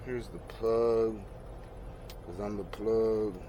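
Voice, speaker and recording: Male vlogger, low-pitched, sweary and slangy, casual self-talk during an install, noisy truck cab. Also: fairly even intonation, extremely slow, dark tone. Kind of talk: casual hands-on install vlog, talking to self.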